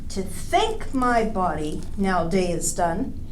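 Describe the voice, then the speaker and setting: A woman hosting a children's storytime, reading picture-book verse and giving simple yoga instructions in a playful, drawn-out, sing-song way. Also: mid-range voice, sing-song, slight background noise, poor audio. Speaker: children's storytime host leading bedtime yoga. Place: indoors, small draped space, lying on the floor.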